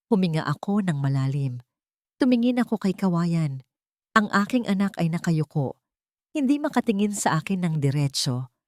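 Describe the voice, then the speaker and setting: A woman reads a dramatic first-person story. Her voice sits fairly low, and the recording is dry and close, with very little room sound. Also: big pitch swings, normal speed, darkish tone, no echo. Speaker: story narrator.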